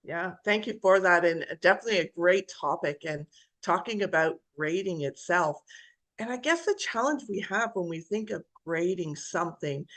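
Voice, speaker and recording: A woman's voice: fairly low pitch, animated, normal speed, fairly bright tone, rough recording. A woman nursing educator speaking conversationally on a video-call webinar panel, thinking things through and adding "right?" tags. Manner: conversational, reflective, tag questions.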